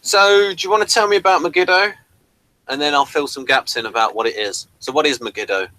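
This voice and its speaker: A man's voice: on the high side, fairly quick, sharp tone, low-quality recording. A man hosting a casual review chat, asking questions and explaining things to his guest. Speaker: host of a review show.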